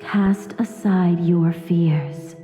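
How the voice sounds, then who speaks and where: An enigmatic, solemn guide in a game, her voice lowish and dark. She delivers hushed ceremonial commands very slowly, wrapped in long reverb. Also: soft background music. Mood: solemn, beckoning. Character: solemn, mysterious woman's voice beckoning onward. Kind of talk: scripted game dialogue.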